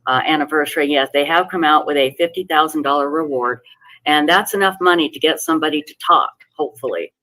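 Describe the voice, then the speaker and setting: A man speaks as a guest in a played-in clip, halting and filling gaps with ums. His voice has a bright, sharp edge. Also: fairly high pitch, low-quality recording. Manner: halting, with fillers. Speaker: guest commentator.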